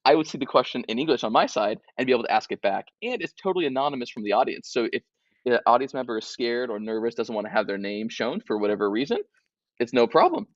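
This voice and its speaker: A man pitches his company's product with a lively, rising-and-falling voice, upbeat and quick to repeat words. His audio comes through dull and very dry, with no room sound, as if on a remote link. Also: dry recording. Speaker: tech company guest.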